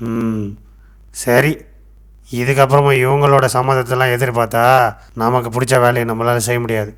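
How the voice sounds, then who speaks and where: A man voicing a frustrated, stubborn character in an animated moral story, speaking in an aggrieved, emphatic way. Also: mid-range voice, normal speed, clear, resonant tone, natural voice. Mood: resolute. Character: frustrated, stubborn man defending the work he wants.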